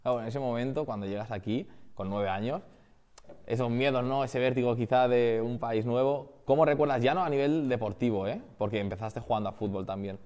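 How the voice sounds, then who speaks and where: Quick-talking male podcast host. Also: mid-range voice, a little mellow. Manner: brisk, conversational questioning. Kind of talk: interview questions.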